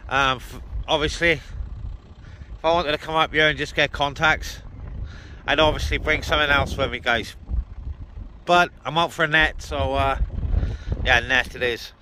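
A man's voice: a little high, steady tone, measured pace, pauses often, sharp tone, slight background noise, rough recording. A man vlogging casually and talking on the radio from inside a parked car, addressing viewers informally. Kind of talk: casual vlog chat and radio talk.

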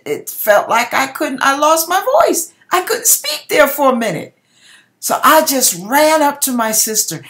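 A woman's voice: on the high side, a bit bright. A middle-aged woman telling stories in a theatrical, emphatic way, as an online host doing dramatic readings and commentary in her kitchen. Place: home kitchen, indoors. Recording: echoey kitchen room sound.

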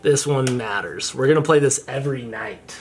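A man in his thirties with a higher-pitched voice, chatting playfully while gaming at home as vlog host. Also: moderate pace, a little hiss or hum. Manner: playful, joking, excitable. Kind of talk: casual chat while gaming. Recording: music in background.

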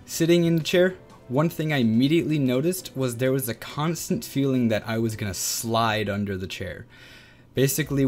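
A young man in his twenties giving a scripted review of flight sim gear, with a voice a bit weak from illness, recorded on a close desk mic in a small gaming room. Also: mid-range voice. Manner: earnest, steady read-through. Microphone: close desk mic, wearing headphones.

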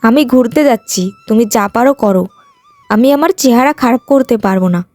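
A woman's voice: a little high, a little fast, a little mellow, no echo, soft background music, pitched below the performer's own voice, lightly acted. A woman voicing a vain, haughty, petulant young village woman in a cartoon moral tale. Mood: petulant, resentful. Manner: boastful, petulant, complaining.

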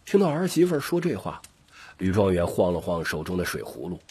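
Deep, very husky male storyteller. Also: wildly swooping pitch, a little fast, a little mellow, no echo. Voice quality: gravelly, husky. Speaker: audiobook narrator. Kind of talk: expressive scripted story narration.

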